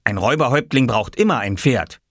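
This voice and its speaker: A man's voice: medium pitch, lively intonation, fast, fairly bright tone, no echo, clean studio sound, voice pushed up. A man voicing a bossy, earnest little boy, a self-important big brother in a children's storybook.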